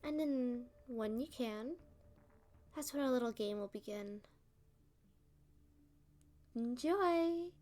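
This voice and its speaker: A woman performs a playful female supervillain in an ASMR roleplay. Her voice sits fairly high and she takes her words very slowly, stretching them out. She is smug and condescending, teasing the listener with plenty of sass. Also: warm tone. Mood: taunting, gleeful. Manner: teasing, condescending, drawn-out delivery.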